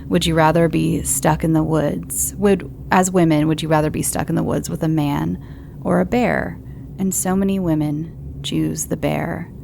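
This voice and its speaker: A woman with a low, gravelly voice reads listener horror stories in a calm, unhurried way. Faint noise sits under her voice. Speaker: podcast host.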